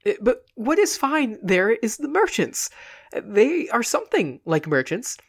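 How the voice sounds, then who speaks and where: A woman's voice: a little high, sing-song, measured pace, voice pushed up, heavily acted. A woman voicing a flustered, stammering male comic actor in a storybook reading. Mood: soothing. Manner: stammering, exasperated. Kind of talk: dramatic audiobook reading.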